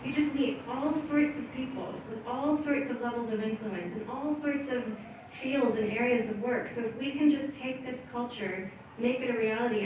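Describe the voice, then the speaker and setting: Woman speaking far off in an echoey hall. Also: on the high side. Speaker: speaker at a community town hall. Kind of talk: closing remarks and reading aloud a vision statement. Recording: echoey hall, distant, with clear room noise.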